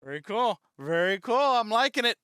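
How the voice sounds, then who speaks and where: A man's voice: high voice, very animated, moderate pace, sharp tone, a little hiss or hum. A man giving casual, enthusiastic fan reaction commentary as host, often repeating his short phrases. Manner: enthusiastic, repetitive. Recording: faint music behind the voice.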